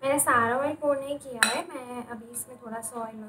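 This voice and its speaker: A woman's voice: on the high side, steady tone, normal speed. A woman in her twenties with an Indian accent, chatting casually through a home cook-along vlog, switching between Hindi and English. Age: 20s. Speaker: home cooking vlogger. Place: indoors at home, small curtained room. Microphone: phone camera a few feet away. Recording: echoey room, background noise, phone camera.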